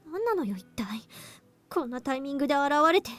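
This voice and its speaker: High-voiced prickly anime girl. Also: sing-song, racing. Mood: angry, accusing. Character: prickly teenage girl, estranged childhood friend. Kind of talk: Japanese anime-style visual novel acting. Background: game music.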